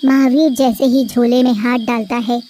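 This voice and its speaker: A woman narrates a cartoon story in a steady, even storytelling manner. Her voice sits high and clear. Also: moderate pace, background noise.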